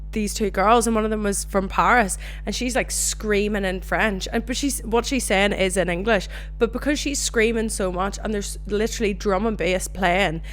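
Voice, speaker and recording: A young Northern Irish woman hosting her own podcast, chatting casually and telling animated stories. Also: mid-range voice, a little hiss or hum. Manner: chatty, animated storytelling with swearing. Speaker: podcast host. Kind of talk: casual solo chat.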